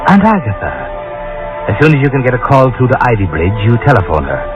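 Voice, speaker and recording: Ominous radio narrator, music behind. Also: male voice, mid-range voice, wildly swooping pitch, fast talker. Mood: sardonic, insinuating. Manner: insinuating, dramatic. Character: ominous, taunting unseen narrator addressing the heroine. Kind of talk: 1940s radio suspense narration. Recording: muffled old radio recording.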